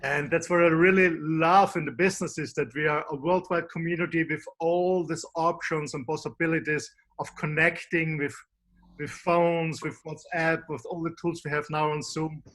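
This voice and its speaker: A man from Austria with an Austrian German accent, presenting in a webinar as a business coach, calm and suggestive. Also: on the high side, normal speed.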